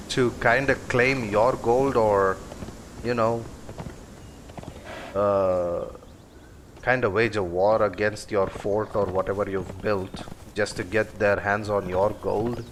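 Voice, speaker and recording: A male streamer chatting over his gameplay in an easygoing, meandering way, filling gaps with little hesitations. His pitch sits in an ordinary middle range and his delivery is notably slow. Game sounds run clearly beneath his voice. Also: a little hiss or hum. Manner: unhurried, rambling, many fillers. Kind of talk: casual live-stream commentary.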